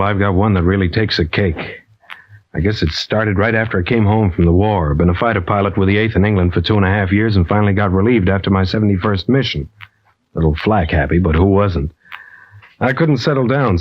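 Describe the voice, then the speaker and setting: Actor as brash ex-pilot, muffled old radio. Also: male voice, fairly low pitch, brisk, natural voice. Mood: wry storytelling. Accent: American. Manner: clipped, slangy, tough-guy talk. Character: brash, impatient ex-fighter pilot running a shady air charter. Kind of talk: 1940s radio acting, hard-boiled first-person narration. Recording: dull, lo-fi old radio recording.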